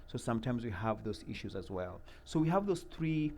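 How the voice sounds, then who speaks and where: A middle-aged man, from Uganda, answering interview questions about a mentoring program in an earnest, measured way, with many fillers and repeated words. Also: medium pitch, sing-song, darkish tone.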